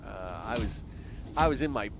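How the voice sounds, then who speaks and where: A man's voice: lowish voice, animated, normal speed, background noise. A man in his early fifties, rambling casually with lots of fillers while commenting on his own game during a live stream. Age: 50s. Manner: rambling, chatty, talks to himself and chat with lots of fillers.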